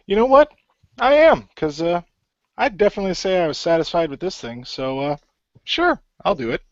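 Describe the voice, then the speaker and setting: A man's voice: sits high, lively intonation, moderate pace, dry recording. Casual male player, muffled dry recording. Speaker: player in a role-playing radio show. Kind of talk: casual role-play and banter. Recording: dull, lo-fi audio.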